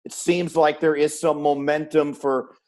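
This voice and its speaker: A man's voice: a little high, little pitch movement, a little slow, darkish tone. A man hosting a casual sports interview, talking conversationally in long, winding sentences as he puts questions to his guest.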